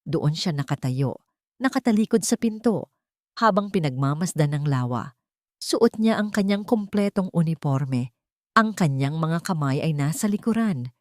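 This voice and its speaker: A woman storyteller reading scripted fiction in a deliberate, dramatic way, with short pauses between phrases. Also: low-pitched, animated, a little mellow, no room sound, studio quality. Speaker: storyteller, narrator.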